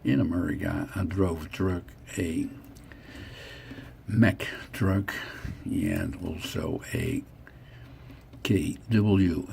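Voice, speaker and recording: A man in his sixties speaks English with a Dutch accent in a very low, slow voice. He narrates old photos of his own life in halting, clipped phrases. There is faint noise behind him. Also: vocal fry, a little mellow. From the Netherlands. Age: 60s. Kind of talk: personal narration over photo slideshow.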